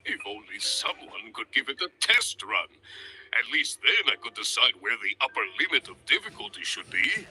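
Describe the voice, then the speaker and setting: An actor playing a blustery, enthusiastic adventurers' guild examiner in a video game, with a theatrical, emphatic delivery. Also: male voice, mid-range voice, very animated, moderate pace, sharp tone, faint music behind, exaggerated voice, low-quality recording. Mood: fretting. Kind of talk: English video game voice acting.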